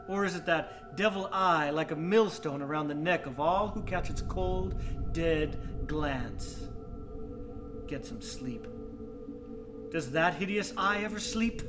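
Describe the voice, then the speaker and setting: An actor playing an obsessed, brooding young madman with murderous intent, narrating a gothic radio drama in a deliberate, theatrical way. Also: fairly high pitch.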